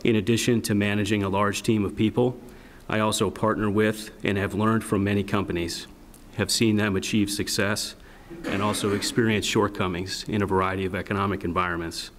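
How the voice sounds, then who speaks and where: A man in his thirties gives a formal, businesslike candidate statement in a low, flat voice. The sound rings in a long hall reverb, with faint noise underneath. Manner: measured, formal, businesslike delivery. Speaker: school board candidate. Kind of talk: prepared opening statement.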